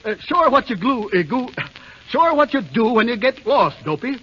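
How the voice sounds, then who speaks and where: A man's voice: very high voice, sing-song, normal speed, background noise. An actor playing a flustered, kindly elderly dwarf leader who stammers and tangles his words, in 1930s radio drama style. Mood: eager, flustered. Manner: stammering, sputtering, tongue-tangled. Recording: muffled vintage radio recording.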